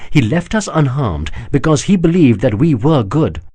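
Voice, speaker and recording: A man voicing a teasing, sharp-witted princess. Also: mid-range voice, big pitch swings, moderate pace, husky, pitched above the performer's own voice. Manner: teasing, gently mocking. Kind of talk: audiobook character voice.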